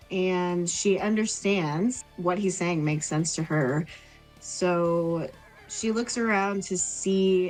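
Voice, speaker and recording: A woman talks through her tabletop character's thoughts. Her voice is on the low side and slow, casual and reflective, with halting phrases over background music. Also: darkish tone, poor audio. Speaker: tabletop game player. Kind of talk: thinking aloud about character, casual.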